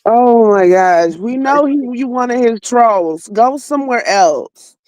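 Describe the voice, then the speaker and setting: A woman's voice: a little high, lively intonation, unhurried, a little mellow, dry recording. A woman chatting casually as a co-commentator, slangy and mocking in her reactions.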